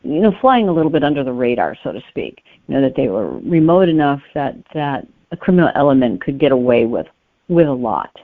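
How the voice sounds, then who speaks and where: A woman's voice: extremely low pitch, sing-song, a little fast, no echo. A woman speaking as a guest novelist in a conversational interview, explaining carefully and qualifying as they go. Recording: muffled narrow phone-line sound.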